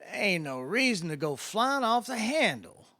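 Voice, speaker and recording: A man's voice: sits high, very animated, unhurried, bright, forceful tone, no room sound, clean studio sound, voice pushed up, full character voice. A man voicing an easygoing, folksy spacefarer with a drawl in a dramatic story reading. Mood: coolly warning. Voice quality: Southern-style drawl.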